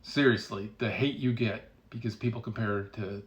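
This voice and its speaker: A man's voice: lowish voice, fairly even intonation, normal speed. A man speaking casually as a game developer, giving opinionated commentary in a blunt, sardonic, emphatic way.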